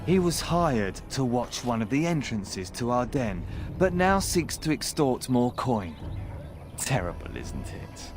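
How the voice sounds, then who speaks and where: An actor playing a sly, courteous underworld dealmaker in a video game, speaking formally and insinuatingly. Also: male voice, mid-range voice, very animated, unhurried, over music. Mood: conspiratorial, polite. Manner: smooth-talking, formal, insinuating. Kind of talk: video game character dialogue.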